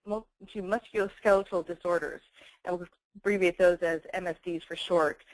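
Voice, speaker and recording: A woman giving a semi-scripted software webinar presentation in an explanatory, conversational manner. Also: fairly low pitch, dry recording, rough recording.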